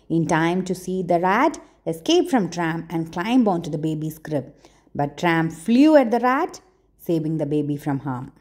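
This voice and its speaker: Woman, children's read-aloud narrator, very animated pitch, mellow tone, deliberate expressive phrasing. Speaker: storyteller, channel host.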